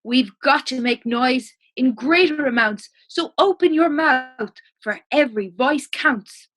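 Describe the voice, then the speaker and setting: A woman's voice: high voice, a little slow, a bit bright. A woman voicing an earnest, urgent tiny male town mayor in a storybook reading. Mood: rallying.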